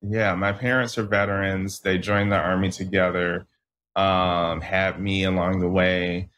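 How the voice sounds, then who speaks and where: Guest author, young man, deep voice, laid-back, restarts often, from Michigan. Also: unhurried. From southeastern Michigan. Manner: relaxed, restarts often, laughs at himself. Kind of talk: casual interview talk.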